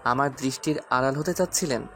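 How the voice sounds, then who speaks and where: A man's voice: medium pitch, fast, fairly bright tone, faint music behind, natural voice. A man acting a stern yet teasing young husband and father in an audio story. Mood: low, teasing.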